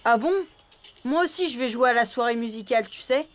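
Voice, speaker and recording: A woman's voice: on the high side, fairly quick, natural voice. A woman voicing an impatient young boy elephant in a cartoon, boastful but easily discouraged, whiny and emphatic. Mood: boastful, evasive. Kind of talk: French cartoon dubbing. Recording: music behind the voice.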